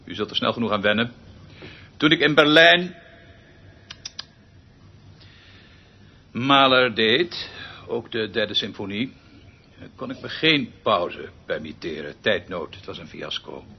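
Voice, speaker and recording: A pompous, eccentric male conductor addresses his orchestra with theatrical pedantry. His husky voice swings widely in pitch and pauses for effect. The radio play recording is dull and muffled. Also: lively intonation, full character voice. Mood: grandly self-important. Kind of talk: radio drama acting.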